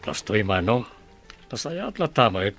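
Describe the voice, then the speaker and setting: A man playing a gentle, respectful younger brother and devoted father in a radio drama. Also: lowish voice, very animated, a little slow, faint music behind, exaggerated voice. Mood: earnest.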